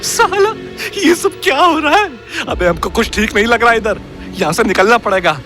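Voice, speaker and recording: A man's voice: extremely high pitch, sing-song, moderate pace, bright, forceful tone, faint music behind, heavily acted. A man playing a cowardly, panicking young man in a comic horror audio drama. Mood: terrified.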